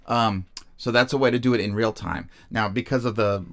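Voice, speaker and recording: A man presenting an informal step-by-step music software demonstration, haltingly thinking aloud as he goes. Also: fairly low pitch, fairly even intonation, no echo, studio quality. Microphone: microphone recorded straight into screen-capture software.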